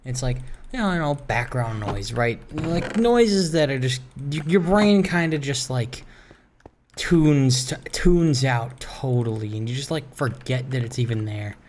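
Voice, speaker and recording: A man's voice: medium pitch, lively intonation, unhurried, darkish tone, a little hiss or hum. Rambling man narrating his own gaming video. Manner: rambling, musing, self-talking. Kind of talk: casual Let's Play commentary. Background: game music.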